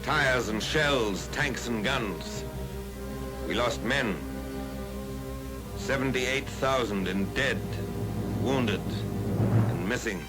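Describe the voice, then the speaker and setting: A man with an American accent reading scripted 1940s wartime newsreel narration in a grave, measured, oratorical way, on a vintage film soundtrack. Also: medium pitch, over loud music, poor audio.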